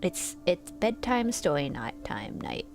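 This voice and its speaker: A woman's voice: lowish voice, huge pitch swings, a little slow, vocal fry, a little mellow, in a whisper. A woman live streaming a game, chatting casually and informally with viewers. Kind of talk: casual game stream chat with reading aloud. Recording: game music behind the voice.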